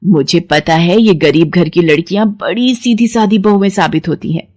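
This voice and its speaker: A woman's voice: fairly low pitch, moderate pace, darkish tone, lightly acted. A woman voicing a fussy middle-aged mother-in-law in a cartoon story, who complains and scolds. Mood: grumbling.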